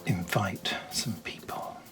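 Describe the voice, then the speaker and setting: A man's voice: slow pace, fairly bright tone, whispered, faint music behind. A man speaking casually, as an audio drama director keeping a behind-the-scenes video diary.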